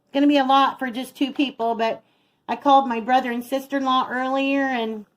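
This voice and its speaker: Chatty woman with a higher-pitched voice. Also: fairly even intonation, brisk, poor audio. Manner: chatty, narrates each step as she works. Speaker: home cooking vlog host. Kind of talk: casual chat while cooking. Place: home kitchen.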